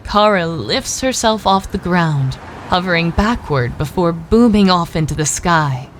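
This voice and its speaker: A woman's voice: lowish voice, animated, unhurried, noisy background. A woman narrating an audio drama in a scripted storytelling style.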